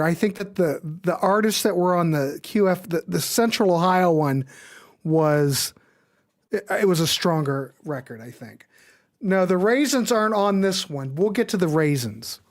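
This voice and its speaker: A woman's voice: on the low side, a little mellow. A woman hosting a music show with casual, off-the-cuff chat, folksy and chatty, often thinking aloud.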